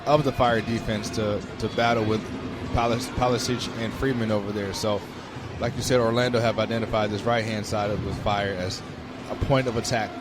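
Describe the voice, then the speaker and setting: A man's voice: fairly low pitch, fairly even intonation, a little fast. A man giving live analysis as the color commentator on a soccer broadcast at an open-air stadium. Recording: noisy outdoor stadium ambience. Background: loud stadium ambience.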